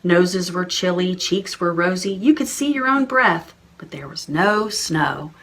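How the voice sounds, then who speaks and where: A woman's voice: lowish voice, a little slow, a bit bright, roomy sound, far from the mic. A woman librarian leading preschool storytime, sing-song and encouraging as she talks to small children. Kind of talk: preschool storytime with songs and reading.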